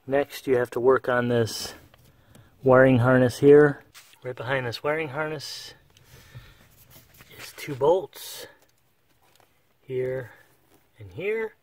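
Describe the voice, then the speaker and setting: A man's voice: medium pitch, no room sound. A man giving a casual step-by-step car repair demonstration, talking plainly while he works under a dashboard. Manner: plain, unhurried, talks while working with tools. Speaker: car repair how-to presenter.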